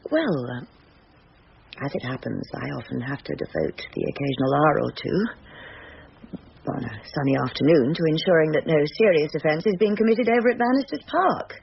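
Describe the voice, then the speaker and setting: An actress playing a brisk, probing British police superintendent, formal and dryly authoritative, in a radio drama. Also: female voice, low voice, animated, vocal fry, slightly rough, heavily acted. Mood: dryly pleasant. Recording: dull, faintly noisy radio recording.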